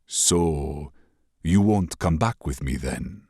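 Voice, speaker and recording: Cruel warlord prince, very deep and slow, mocking, done by a male narrator. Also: lively intonation, vocal fry, darkish tone, slightly rough, no room sound, studio quality, heavily acted. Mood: menacing. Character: cold scheming warlord prince, male adult.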